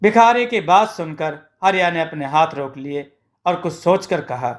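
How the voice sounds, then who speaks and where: A male storyteller with a notably high voice. He delivers scripted narration of a moral tale. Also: normal speed.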